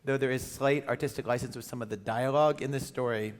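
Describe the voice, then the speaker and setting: A man's voice: medium pitch, fairly even intonation, fast. A man hosting a live stage show, reading out credits as an announcer in a large theater. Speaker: show host. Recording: loud crowd noise, reverberant theater.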